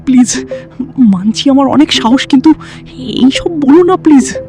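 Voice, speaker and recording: Animated young ghost-hunting livestreamer, acted by a man. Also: very high voice, a little slow. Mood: nervous, frightened. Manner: chatty, hesitant, addresses viewers directly. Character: cocky young male ghost-hunting livestreamer, bravado cracking into fear. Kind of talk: acted found-footage livestream monologue. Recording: background music, some ambient noise.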